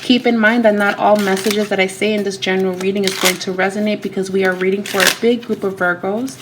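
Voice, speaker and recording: A woman's voice: mid-range voice, steady tone, fast, heavy background noise. A woman doing a tarot reading straight to her viewers, talking emphatically and repeating herself. She is still getting over a cold. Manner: repetitive, emphatic, speaks to viewers directly. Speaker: tarot reader. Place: home room.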